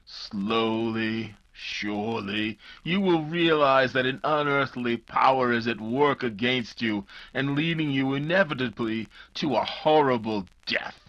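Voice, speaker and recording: An actor playing a vengeful old criminal doctor, ominous and grandiose, in a 1940s radio mystery style. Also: male voice, medium pitch, a little slow, hardly any pauses, fairly bright tone, dull audio, close to an everyday voice. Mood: gloating threat. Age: 70s character.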